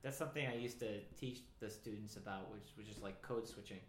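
A man's voice: lowish voice, a little fast, slight background noise. A man hosting a casual interview, talking in a loose, rambling way full of restarts. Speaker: podcast host. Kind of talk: casual conversation. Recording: roomy echo.

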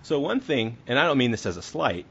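A man's voice: mid-range voice, very animated, brisk, creaky, fairly bright tone, slightly rough. A man hosting a podcast, interviewing his guest in a casual conversational style. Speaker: podcast host and interviewer, a writer. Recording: dull lo-fi audio.

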